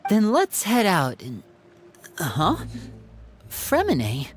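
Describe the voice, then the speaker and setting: A woman's voice: lowish voice, wildly swooping pitch, slow pace, noisy background, full character voice. A woman playing a confident, helpful and perceptive young woman in a video game.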